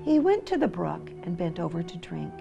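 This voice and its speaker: Animated woman reading a children's story. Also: on the low side, moderate pace, vocal fry, muffled recording, music in the background. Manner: theatrical, dramatic emphasis. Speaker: storybook reader. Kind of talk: expressive rhyming children's story narration.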